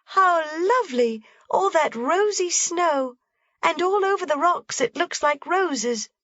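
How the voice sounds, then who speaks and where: A woman voicing an excited little mountain girl, eager and exclaiming in a high voice. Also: slow, no echo, dull audio, exaggerated voice. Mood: awestruck.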